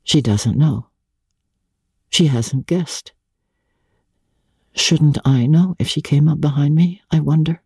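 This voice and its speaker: A pensive young gentleman, voiced by a woman narrator muses inwardly in a literary audiobook. The voice is dark and husky, slow and hesitant, heavy with longing. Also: low voice, dry recording, clean studio sound, heavily acted. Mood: wistful, tense. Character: pensive, lovelorn young gentleman musing to himself.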